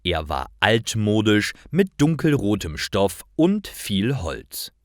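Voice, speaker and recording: Male narrator for learner stories: low pitch, very unhurried delivery, careful scripted reading. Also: very animated, no echo, studio quality.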